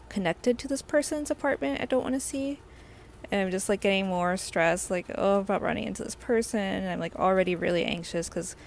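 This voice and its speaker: Creaky-voiced young woman rambling a storytime. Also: on the low side, a little hiss or hum. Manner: rambling, heavy use of "like" fillers. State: slightly hoarse from a sore throat. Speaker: vlogger. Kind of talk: casual storytime.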